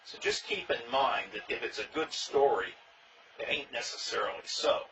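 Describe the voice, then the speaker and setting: An older man, interviewed about his early days in a reenactment society, telling stories with dry, plainspoken humor and a loud voice. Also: medium pitch, lively intonation, normal speed, distant mic. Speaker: interviewee, former king of a historical reenactment society. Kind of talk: casual storytelling interview. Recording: dull sound, faint noise, from off mic.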